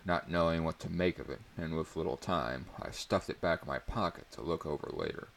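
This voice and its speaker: A man's voice: very deep voice, a little fast, creaky, a bit bright, a little hiss or hum. A man reading a story aloud as narrator in a dramatic audiobook style.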